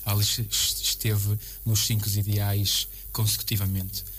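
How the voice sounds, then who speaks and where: A man with a European Portuguese accent giving a casual interview as a coach, haltingly and often repeating words and phrases. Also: fairly low pitch, monotone, fairly bright tone. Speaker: basketball coach, interview guest. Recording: clear background noise and hum.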